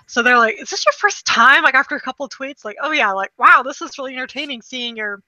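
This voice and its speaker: Bright-voiced woman chatting casually. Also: sits high, quick pace, dry recording, muffled recording. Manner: informal, expressive, quotes people's reactions. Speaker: podcast co-host reviewing movies. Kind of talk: casual chat, animated anecdote-telling.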